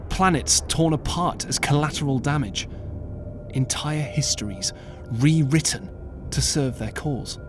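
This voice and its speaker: A man narrating an audiobook as scripted storytelling, measured and earnest, with dramatic pauses. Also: on the high side. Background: clear background music.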